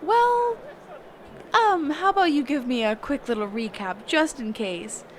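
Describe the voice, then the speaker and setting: Woman acting a high-voiced, hesitant sheltered mage. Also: lively intonation, slight background noise. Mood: flustered, curious. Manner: halting, hesitant, trailing off and self-correcting. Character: sheltered female mage teacher, hesitant, wistful, curious. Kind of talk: one-sided roleplay audio drama, conversational.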